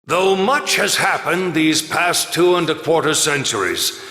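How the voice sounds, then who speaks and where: Old dignified academy headmaster speech for a game, slow and grand, super echoey. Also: male voice, on the high side, expressive, sharp tone. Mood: solemn, stately. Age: older. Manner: stately, measured, oratorical. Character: elderly dignified military academy principal, stately and inspiring. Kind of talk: formal ceremonial speech, game voice acting. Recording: echoey hall with long reverb.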